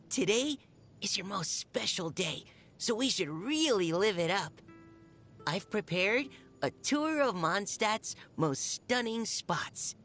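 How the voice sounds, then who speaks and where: A young woman voicing a shy, gentle, earnest army scout in a video game, speaking politely and hesitantly. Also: mid-range voice. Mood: warm, grateful. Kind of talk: video game character voice lines. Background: music.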